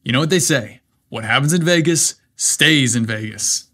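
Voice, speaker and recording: A man's voice: mid-range voice, expressive, normal speed, fairly bright tone, breathy edge. A man playing an affectionate, mischievous bro-type groom. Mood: excited. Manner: casual dude talk.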